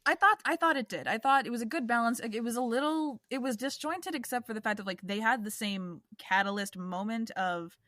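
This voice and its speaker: A woman's voice: a little high, lively intonation, a little fast, few pauses, sharp tone, no echo, clean studio sound. A woman co-hosting a podcast, talking casually and rambling with lots of "like". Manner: rambling, hedging with frequent "like". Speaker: podcast co-host. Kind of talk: casual chat.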